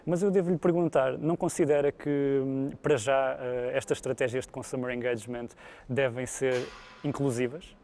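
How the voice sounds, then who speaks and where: A man's voice: mid-range voice, fairly even intonation, moderate pace, darkish tone, slight background noise. A man with a European Portuguese accent moderating a panel and putting questions to the guests.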